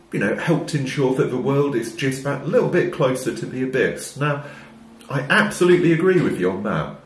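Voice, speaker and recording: A man, who has a cold, giving a wry, measured opinion vlog to camera in a small room. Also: fairly low pitch, roomy sound. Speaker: commentator. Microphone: wired-in microphone facing camera.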